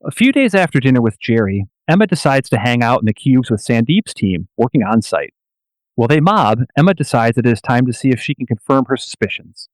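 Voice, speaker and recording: A man reads scripted narration for a radio play. His voice sits at a middling pitch. The sound is close and dry, without room echo. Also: very animated, a little fast.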